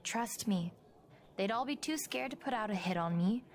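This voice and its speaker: A woman's voice: medium pitch, expressive, moderate pace, slight background noise, lightly acted. An actress playing a composed, stubborn young woman journalist in naturalistic audio drama dialogue. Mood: composed, guarded.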